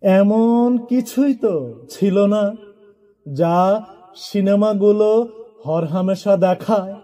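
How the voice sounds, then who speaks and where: A man reciting poems in a solemn style, with deliberate, measured phrasing and dramatic pauses. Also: high-pitched, dark tone. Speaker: poetry reciter.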